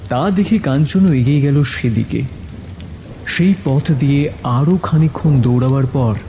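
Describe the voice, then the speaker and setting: A man's voice: medium pitch, mellow, slight background noise. A man narrating a scripted horror story in a suspenseful storytelling style. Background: background music.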